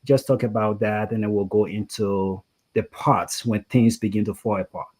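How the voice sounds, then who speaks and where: A man's voice: lowish voice, steady tone, normal speed, a little mellow. A man hosting a conversational interview, rambling and often saying "you know". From Liberia. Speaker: talk show host.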